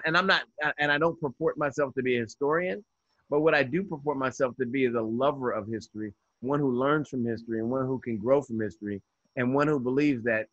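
A man's voice: medium pitch, fairly bright tone, no echo. Man, 50s, guest on a video call; dry, lo-fi webcam sound, earnest and thoughtful, many restarts. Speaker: guest educator. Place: indoors by a window.

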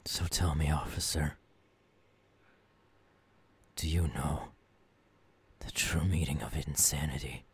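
Menacing, tormented ghoul king, ASMR audio drama. Slow, brooding delivery, very breathy, husky, half-whispered. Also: a bass voice, exaggerated voice. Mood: tense, weary. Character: tormented, menacing young male ghoul turned self-crowned king. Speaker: voice actor. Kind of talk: ASMR audio roleplay monologue.

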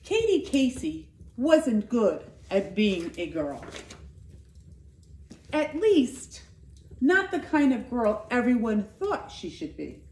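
A middle-aged woman reads a picture book to children in a high voice that swings up and down. She takes her time and leans on the important words. The sound is echoey, as in a living room. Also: a little hiss or hum. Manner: expressive, deliberate storytelling with emphasized words. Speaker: school nurse reading to children. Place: indoor living room by a fireplace.

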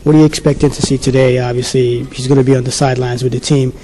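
A man's voice: mid-range voice, level tone, fast, warm tone, slight background noise. A man asking sports interview questions in a friendly, conversational way into a handheld mic. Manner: friendly, conversational, hesitant fillers.

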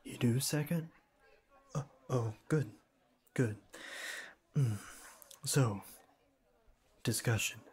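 A man playing a shy young classmate in an ASMR roleplay, speaking formally and stiffly with hesitant stammers and a cool, deadpan manner. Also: mid-range voice, extremely slow, pauses often, slightly rough, exaggerated voice. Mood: awkward, nervous. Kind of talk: ASMR roleplay, scripted one-sided dialogue.

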